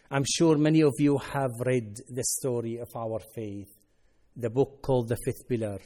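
A man from Syria, a native Arabic speaker, giving an earnest personal testimony in halting non-native English. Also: mid-range voice, dark tone. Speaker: ministry worker.

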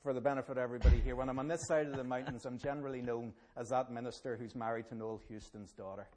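A middle-aged Northern Irish man, a minister, telling his life story at a public event in a halting way that often repeats words. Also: mid-range voice, fairly even intonation, a little mellow. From Northern Ireland. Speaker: Presbyterian minister. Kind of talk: personal testimony at a public event.

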